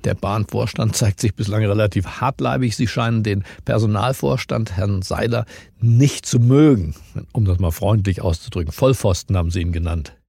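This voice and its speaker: A man hosting a news briefing, presenting scripted items and questions in a pointed, deliberate way with dramatic pauses. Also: on the low side, mellow, no room sound, studio quality. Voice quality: gravelly, husky. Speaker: host of a news briefing podcast. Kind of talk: scripted news narration and interview.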